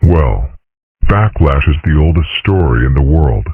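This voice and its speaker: A man voicing a calm father dragon in a comic dub, lecturing in a measured, deadpan way with dry mischief. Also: extremely low pitch, breathy edge, exaggerated voice. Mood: measured, wise. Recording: muffled, phone-like narrow sound.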